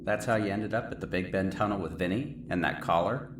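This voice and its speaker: In an echoing, noisy recording, a man plays a mysterious story collector with a very deep voice. He is gentle and sympathetic, soothing and measured as in an audio drama, with an expressive rise and fall. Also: natural voice. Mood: curious. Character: gentle, mysterious male collector of dying people's stories. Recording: roomy echo, clear background noise.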